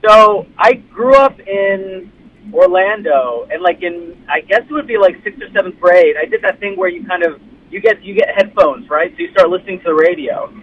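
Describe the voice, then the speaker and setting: A man's voice: high voice, big pitch swings, moderate pace. A young man from the United States, a guest on a call-in chat, explaining things politely with a few hesitations. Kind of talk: casual chat. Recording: tinny phone line with faint noise.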